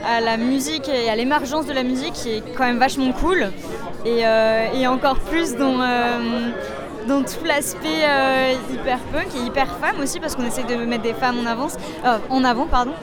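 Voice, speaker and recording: A woman's voice: high-pitched, normal speed, music in the background. A young woman from the south of France, a musician and DJ, answering interview questions in a chatty, hesitant way with many fillers. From Sète, south of France. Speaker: musician and DJ, guest. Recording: noisy crowd in background.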